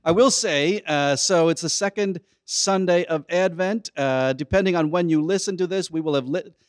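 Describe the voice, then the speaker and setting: A man hosting a church podcast in a casual chat, relaxed and rambling with many fillers. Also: fairly high pitch, normal speed, dry recording, studio quality. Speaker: podcast host.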